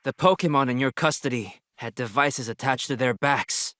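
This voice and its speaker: An actor playing a confident young detective in a video game, laying things out firmly and methodically. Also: male voice, mid-range voice, moderate pace, pushed and bright, soft background music, close to an everyday voice. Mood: assured, explaining. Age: young adult. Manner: firm, methodical explaining. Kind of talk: video game cutscene acting.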